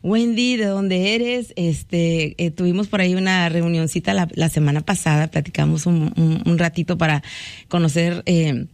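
A woman's voice: on the low side, steady tone, moderate pace, a little mellow, no room sound. A woman hosting a radio interview in a studio, talking in a friendly way with many fillers and affirmations. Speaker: radio host.

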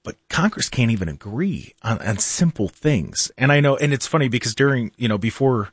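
A man's voice: mid-range voice, big pitch swings, brisk, breathy edge, dry recording. A man hosting a talk radio show in a studio, chatty, laughing often and restarting his sentences. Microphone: studio microphone.

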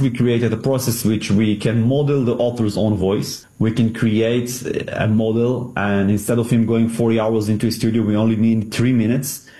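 Israeli guy explaining his company on the radio, mellow tone, echoey room, says so so a lot. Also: lowish voice. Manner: earnest, repeats words when restarting. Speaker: tech company CEO guest. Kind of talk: radio interview answers.

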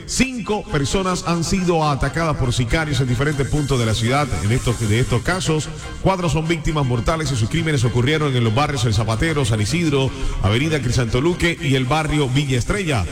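A man's voice: medium pitch, fairly quick, roomy sound, over music. A man hosting a morning radio show, chatting and reading the news with emphasis. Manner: lively banter, then steady emphatic news reading. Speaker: radio host and newsreader. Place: radio studio.

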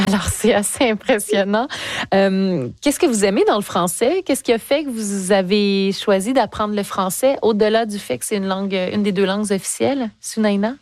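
A woman's voice: mid-range voice, normal speed, a little mellow, no room sound. A woman hosting a conversational interview. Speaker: interview host.

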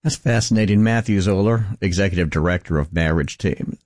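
A man's voice: sits low, a little fast, a little mellow, dry recording, clean studio sound. A man hosting a radio interview, introducing his guest and putting questions to him. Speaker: interview host.

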